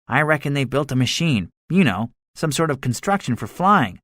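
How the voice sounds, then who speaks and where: A man's voice: medium pitch, very animated, normal speed, a bit bright, no room sound, clean studio sound, voice pushed up. A man voicing a cynical, boastful soldier survivor in a dramatized story, speaking emphatically with a swaggering manner. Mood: urgent, wary. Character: cynical, boastful male soldier, excitable survivor with grand schemes. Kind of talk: dramatized audiobook dialogue.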